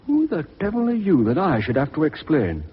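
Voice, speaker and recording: An actor playing a brash, pushy, self-important sales manager in a radio drama, blustering and over-familiar. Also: male voice, a little high, big pitch swings, brisk, husky, exaggerated voice. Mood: belligerent. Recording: muffled radio recording.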